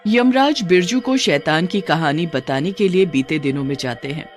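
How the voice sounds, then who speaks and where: A man's voice: a little high, moderate pace, faint music behind. A man narrating a scripted cartoon story.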